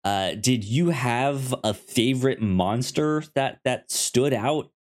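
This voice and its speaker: A man talks casually as co-host of a film podcast. His mid-pitched voice moves slowly and haltingly. He often repeats words and phrases things loosely. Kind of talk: casual film discussion chat.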